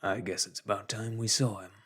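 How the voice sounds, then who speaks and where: A man voicing a calm, resolute bearded man who speaks steadily and bluntly. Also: fairly low pitch, a little fast, slightly rough.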